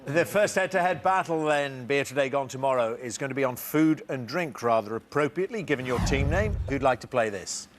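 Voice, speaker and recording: A man hosting a television quiz show, with a brisk, lightly teasing manner as he reads questions and chats with contestants. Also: mid-range voice, lively intonation, noisy background.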